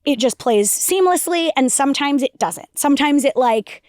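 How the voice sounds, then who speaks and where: A young woman with a raspy voice co-hosting a casual podcast, rambling with restarts and lots of "like". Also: high voice, animated, moderate pace, a little mellow, no room sound, studio quality. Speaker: podcast co-host. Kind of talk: casual chat.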